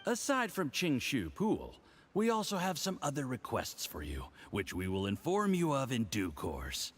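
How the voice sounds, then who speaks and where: An actor playing a smug, condescending, tipsy diplomat in a video game, speaking in a theatrical, patronizing way. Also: male voice, medium pitch, wildly swooping pitch, husky, soft background music. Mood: smug, businesslike. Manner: theatrical, patronizing, drawn-out delivery.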